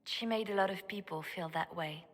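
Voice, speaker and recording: An actress playing a composed, secretive spymaster advisor in a video game, measured and serious, with long hall reverb. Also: female voice, medium pitch, natural voice. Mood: hushed, wistful.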